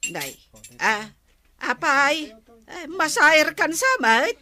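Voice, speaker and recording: Nosy aunt, Ilocano accent, high animated voice, prodding delivery for radio drama. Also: female voice, full character voice. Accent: Filipino, Ilocano. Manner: teasing, prodding, drawn-out. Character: nosy teasing aunt pushing marriage.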